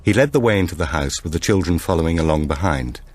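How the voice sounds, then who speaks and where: A man reading a thriller audiobook as a dramatic narrator, with measured, expressive storytelling. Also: low-pitched, breathy edge.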